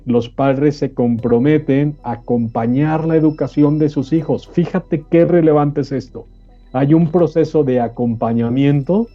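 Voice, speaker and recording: A man hosting a panel discussion on business, putting rhetorical questions to the listener. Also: medium pitch. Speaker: host. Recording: dull lo-fi audio, music behind.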